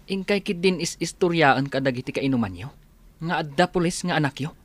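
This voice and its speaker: A man's voice: fairly high pitch, lively intonation, fairly quick, no echo. An actor playing an exasperated young policeman son, scolding emphatically in a radio comedy drama. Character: exasperated grown policeman son scolding his drunkard father.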